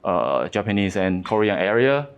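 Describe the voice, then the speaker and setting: A man in his thirties speaks English with a Taiwanese accent, his first language being Mandarin. His voice sits fairly low. He presents at a conference in a halting way, full of fillers and restarts. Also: very animated, clear, resonant tone, highs cut off.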